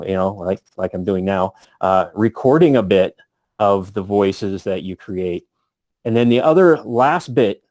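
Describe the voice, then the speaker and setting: A man's voice: fairly low pitch, a little mellow, dry recording. A man giving a casual instructional talk as a tutor, thinking aloud as he explains. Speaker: voice tutor for tabletop gamers.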